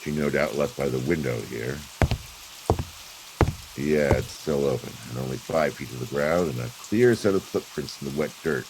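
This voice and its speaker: An actor playing an impatient, sardonic private detective in a brusque, slangy 1940s radio drama style. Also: male voice, extremely low pitch, moderate pace, noisy background.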